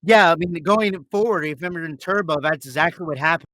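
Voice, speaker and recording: A participant chatting haltingly and casually in a film commentary. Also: medium pitch, a bit bright, no echo.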